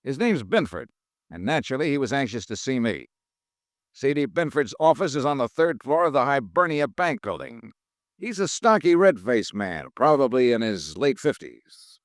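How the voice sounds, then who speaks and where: A brisk, matter-of-fact insurance investigator narrating his case in an old radio drama. His delivery moves up and down with plenty of life. The recording is dry and close. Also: medium pitch, sing-song, no echo. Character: wry, brisk freelance insurance investigator, adult man. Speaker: narrator and lead detective. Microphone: close studio mic, dry.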